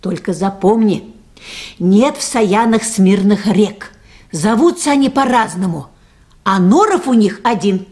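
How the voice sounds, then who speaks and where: A woman's voice: medium pitch, lively intonation, measured pace, darkish tone, natural voice. An actress playing a motherly middle-aged boat mechanic in a radio play, worried and grumbling in a folksy way. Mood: grumbling, stern. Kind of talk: 1980s Soviet radio play acting.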